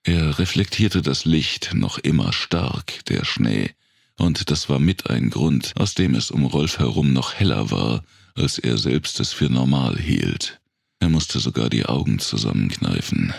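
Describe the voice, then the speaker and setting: A man narrating a dark thriller audiobook in a gravelly, raspy voice, with measured, dramatic storytelling. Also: rumbling low, expressive, no echo, clean studio sound.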